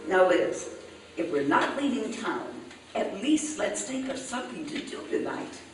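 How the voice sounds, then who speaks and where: A woman playing an excitable, droll housewife and eager sidekick in an old-time radio comedy. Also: mid-range voice, unhurried, soft background music. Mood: dry, restless. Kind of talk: old-time radio comedy acting before a live audience. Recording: very echoey hall, distant mic, faint noise.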